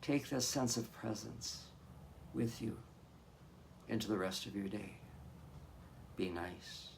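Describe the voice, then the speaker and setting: A man leading a guided meditation as a hypnotherapist, giving gentle, soothing instructions with long pauses between phrases. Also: on the low side, gravelly, a little hiss or hum, low-quality recording.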